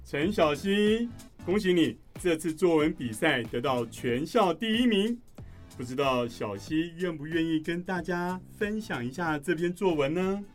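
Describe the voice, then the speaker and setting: A man's voice: on the high side, lively intonation, measured pace, soft background music. A man playing a cheerful schoolteacher in a radio drama, speaking encouragingly to his class.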